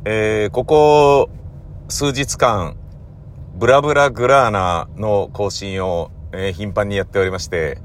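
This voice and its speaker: A man hosting a casual solo podcast, talking in halting, drawn-out phrases that keep checking for agreement. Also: lowish voice, fairly even intonation, slight background noise. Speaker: host of a regular podcast, radio drama director.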